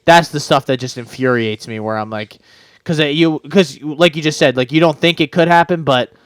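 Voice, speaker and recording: A man's voice: fairly high pitch, normal speed, clear, resonant tone, no room sound. A man co-hosting a podcast, giving casual betting picks and rambling with lots of fillers and restarts. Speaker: podcast co-host. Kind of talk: casual sports betting chat.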